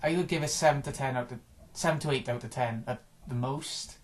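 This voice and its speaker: A man chatting casually about video games, rambling and offhand, his voice a little hoarse after a day of recording. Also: mid-range voice, fairly quick. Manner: rambling, offhand, frequent restarts and small chuckles. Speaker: gaming vlogger.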